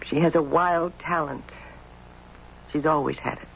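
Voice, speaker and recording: On a dull old radio recording with faint hiss, a mysterious, knowing man. His voice is rather high and creaky, in an eerie radio play style. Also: fairly even intonation, a little slow, a bit bright. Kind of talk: 1970s radio drama acting.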